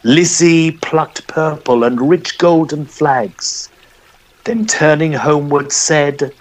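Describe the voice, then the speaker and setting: A man narrating a poem dramatically, in a measured and expressive storyteller's manner. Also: on the high side, rough recording.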